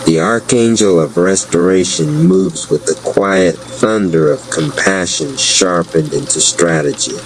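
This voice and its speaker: Male narrator, very low voice, unhurried, solemn epic fantasy storytelling, noisy recording with background rumble. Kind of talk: dramatic scripted spoken-word fantasy narration.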